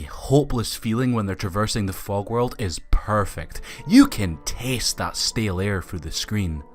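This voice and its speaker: A man's voice: on the low side, a bit bright. A man reading a scripted film review as a video reviewer, with opinionated, colloquial phrasing.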